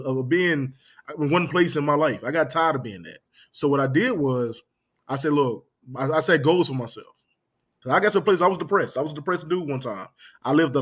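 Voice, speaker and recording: Fast-talking man hosting a casual podcast. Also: mid-range voice, fairly bright tone. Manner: loose, repetitive, peppered with "man" and "you know what I'm saying". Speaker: podcast host.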